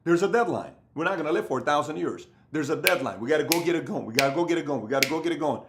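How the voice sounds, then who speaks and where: A man's voice: on the high side, expressive, racing, noisy background. Punchy motivational man coaching on camera. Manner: emphatic, repetitive, acts out other people's voices. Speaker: business coach. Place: indoor room with bookshelves.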